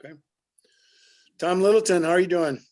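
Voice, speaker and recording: A man leading a group call, calling on members one by one in a loud, brisk voice. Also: high-pitched, no echo, soft background music.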